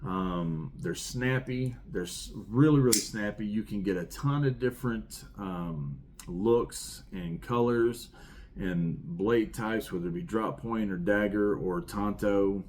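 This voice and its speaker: A man's voice: on the low side, slow pace, a little mellow, slight background noise. A middle-aged man with a rural Southern American accent, rambling with enthusiasm as he shows off his collection. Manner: enthusiastic, rambling, repeats words. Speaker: knife collection reviewer. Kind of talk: casual show-and-tell.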